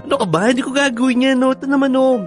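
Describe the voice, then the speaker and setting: An actor with a Filipino accent playing a defensive, easily rattled husband in a radio drama, conversational and expressive. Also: male voice, extremely high pitch, very fast, music in the background. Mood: playful, reassuring.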